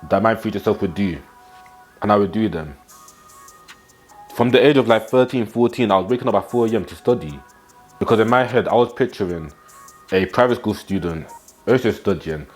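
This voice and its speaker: A nineteen-year-old man speaks in a fairly low voice, giving a motivational talk to camera. He is blunt and slangy and drives his points home by repeating them. He is recorded on a small clip-on mic in a small room at home, with faint music underneath. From England. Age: teens. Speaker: fitness and self-improvement vlogger.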